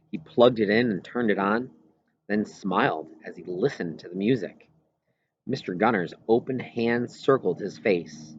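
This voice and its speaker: Low-voiced man reading a story, roomy sound. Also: slightly rough. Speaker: audiobook reader. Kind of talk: scripted first-person story narration. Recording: roomy echo, slightly dull lo-fi sound.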